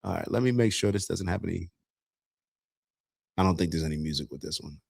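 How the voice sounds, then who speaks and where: A man's voice: low-pitched, brisk, vocal fry, darkish tone, husky. A man hosting an online show and giving casual political commentary. He speaks earnestly, talks to viewers as y'all, and often restarts his sentences. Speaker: show host.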